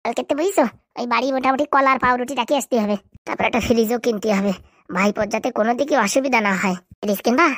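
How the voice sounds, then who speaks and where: Guy doing a bossy cartoon village pal, high voice, chattering super fast and telling people off. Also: a bit bright, no room sound, clean studio sound. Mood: bickering, practical. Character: bickering, practical village buddies planning food and shopping. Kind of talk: comedy cartoon dialect acting.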